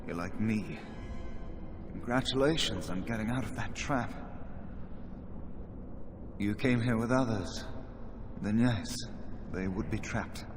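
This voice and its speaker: An actor playing a weary, despairing trapped mage in a video game, speaking in a halting, resigned, bitter manner. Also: male voice, fairly low pitch, close to an everyday voice. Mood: weary resignation. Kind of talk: dramatic video game dialogue. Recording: game audio with noisy ambience behind.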